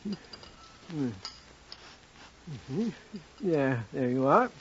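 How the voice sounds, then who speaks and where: An actor playing an uneasy, suspicious janitor and husband, musing hesitantly in old radio drama style. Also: male voice, a little high, sing-song, extremely slow, fairly bright tone, slight background noise, full character voice. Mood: homely. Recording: dull old radio recording.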